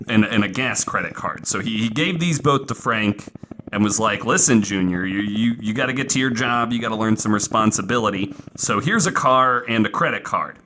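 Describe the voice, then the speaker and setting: A man hosting a podcast, telling a true story casually in an informal, halting way with some self-corrections. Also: on the low side, pushed and bright, rough recording. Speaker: podcast host. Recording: faint background noise.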